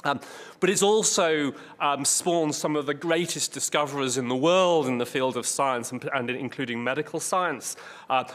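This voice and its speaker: A man's voice: mid-range voice, normal speed, a bit bright. Wry man speaking in an echoey hall. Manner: hesitant, wry, understated. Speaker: keynote speaker, medical oncologist. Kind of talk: informal conference keynote with dry humour. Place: large conference hall. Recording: echoey hall with long reverb.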